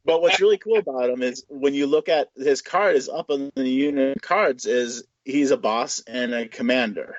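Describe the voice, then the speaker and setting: A man with an average-pitched voice talks casually on a hobby podcast, explaining game details conversationally. His audio is very dry and a little muffled. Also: moderate pace, hardly any pauses, no echo.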